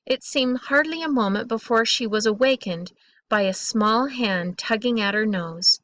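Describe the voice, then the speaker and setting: A woman reading a children's bedtime story aloud in an expressive, deliberate way, drawing out key words. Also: fairly high pitch, no echo.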